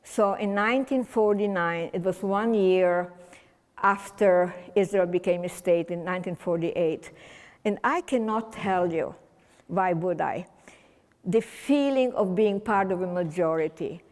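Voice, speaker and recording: A woman's voice: fairly low pitch, measured pace, darkish tone. An elderly woman in her eighties, a native German speaker, shares her survival story with a community gathering. Her delivery is casual and winding, full of restarts and wry asides. Her voice carries a long, ringing hall reverb. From Romania. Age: 80s. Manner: conversational and meandering, with frequent restarts and wry humor. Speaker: Holocaust survivor speaking at a remembrance event. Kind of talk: personal testimony talk to an audience.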